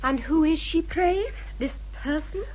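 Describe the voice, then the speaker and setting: Shrill-pitched, snooty lady. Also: a little mellow, a little hiss or hum, low-quality recording. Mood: haughty prying. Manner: drawn-out, incredulous disdain. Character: snooty, incredulous, prying gentlewoman. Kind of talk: period radio drama acting.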